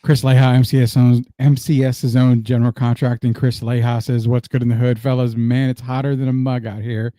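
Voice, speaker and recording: A middle-aged man hosts a live sports stream with a mellow, relaxed tone. He talks in a loose, slangy, conversational way, recorded close and dry. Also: medium pitch, brisk, few pauses, dry recording. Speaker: sports show host.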